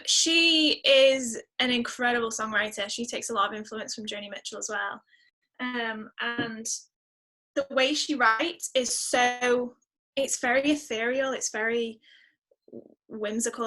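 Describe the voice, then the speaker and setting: A woman's voice: a little high, bright, forceful tone, poor audio. A woman talking casually as an interview guest, reflective and enthusiastic about music. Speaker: guest singer-songwriter.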